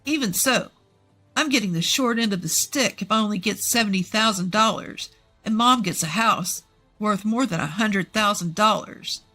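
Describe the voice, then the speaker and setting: A woman's voice: medium pitch, normal speed, pushed and bright, faint music behind. A woman voicing a greedy, sullen, impatient adult son in a narrated family story. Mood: indignant.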